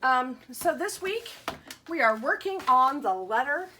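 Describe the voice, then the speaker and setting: Bright-voiced woman teaching young children, unhurried. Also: high voice, expressive, slight background noise. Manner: simple explanations with questions to young children; sounds out letters and words with emphasis. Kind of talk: children's lesson and picture-book read-aloud.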